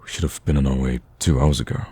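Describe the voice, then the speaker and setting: A man's voice: rumbling low, fairly even intonation, fast talker, warm tone, slightly rough, full character voice. A man playing a smitten villain on a date in a romantic ASMR roleplay, speaking casually and eagerly and getting easily flustered. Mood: earnest, reassuring. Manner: casual, conversational, stammers when flustered.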